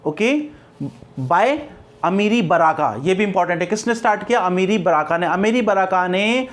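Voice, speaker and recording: A man's voice: high-pitched, moderate pace, a bit bright. A man with an Indian accent teaching an exam-preparation lesson in a didactic way, repeating key points and often checking in with short tags. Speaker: English literature lecturer. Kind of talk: exam-preparation lesson mixing explanation and read-out questions.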